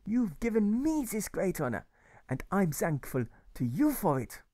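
A man's voice: sits high, sing-song, measured pace, no echo, clean studio sound, voice pushed up. Man putting on a gracious German grocer. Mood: earnest. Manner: gentle, courteous, says yeah often. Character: kindly older German shopkeeper man, gracious.

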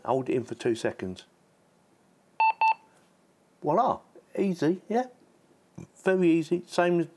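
A man's voice: mid-range voice, noisy background. A man giving a casual, chatty hands-on product demonstration, informal and given to repeating himself. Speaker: product reviewer.

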